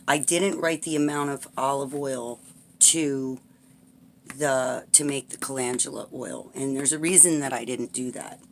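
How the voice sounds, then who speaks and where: Raspy lady rambling thru a homemade salve how-to at her kitchen table, keeps asking okay?. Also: extremely low pitch, a little hiss or hum. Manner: chatty, rambling, frequent "okay?" check-ins. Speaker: herbal salve maker demonstrating a recipe. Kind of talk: casual how-to tutorial. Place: home, indoors at a tabletop. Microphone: camera pointed at the table, speaker off-frame.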